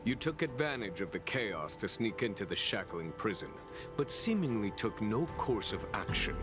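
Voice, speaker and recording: A commanding general with a very deep voice, questioning a suspect in an even, authoritative way. Game music runs underneath. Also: male voice. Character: commanding general, calm and probing.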